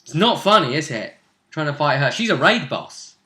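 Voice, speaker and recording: A man's voice: on the high side, sing-song, normal speed, pushed and bright. A man chatting casually and animatedly as a game master, retelling a tabletop session and acting out reactions. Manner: chatty storytelling, acts out players' reactions. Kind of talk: casual animated chat recounting game events. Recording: roomy, echoey home recording.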